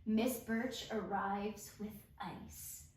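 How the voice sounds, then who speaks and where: A woman's voice: medium pitch, steady tone, drawn-out words. A woman reading stage directions aloud at an amateur table read. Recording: very echoey room, slightly distant mic.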